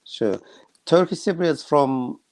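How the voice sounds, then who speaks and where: A man's voice: a little high, expressive, takes it slowly, a little mellow, no room sound. An older man hosting an online panel over a video call, asking questions and laughing often. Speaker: host.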